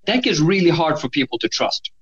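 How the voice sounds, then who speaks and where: A man from Norway with a Norwegian accent, talking in a thoughtful, measured way with frequent restarts in a conversational church discussion. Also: on the high side, big pitch swings, a bit bright, husky. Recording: slightly dull lo-fi audio.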